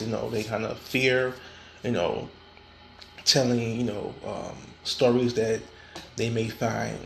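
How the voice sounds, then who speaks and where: A man with a gravelly, mid-pitched voice talks straight to his listeners on his own podcast. He often stalls and repeats words as he goes. A faint hiss sits under the recording. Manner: frequent fillers and restarts, stammering repetitions. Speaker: podcast host. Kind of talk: casual solo podcast talk.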